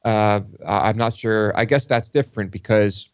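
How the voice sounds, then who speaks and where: Man, slightly deep voice, casual talk show discussion, tentative halting phrasing, dry close recording. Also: dry recording.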